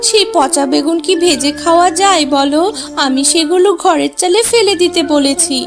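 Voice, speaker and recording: Casting: woman to play caring animal mother, notably high voice, scolds then coaxes, kids' cartoon over clear music. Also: normal speed. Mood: explaining. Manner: chiding, coaxing. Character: fussing, caring animal mother of a young girl. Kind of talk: children's cartoon voice acting.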